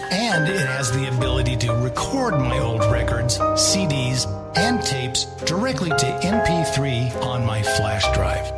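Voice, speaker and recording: A man hosting a show, reading scripted promotional narration. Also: mid-range voice. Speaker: show host reading a sponsor spot. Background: loud music.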